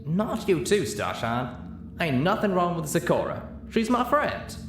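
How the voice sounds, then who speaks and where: A man's voice: sits high, wildly swooping pitch, measured pace, music in the background, voice pushed up, heavily acted. A man voicing an earnest, protective country mare pony with folksy phrasing in a story reading. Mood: exasperated, defensive. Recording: roomy, clearly noisy home recording.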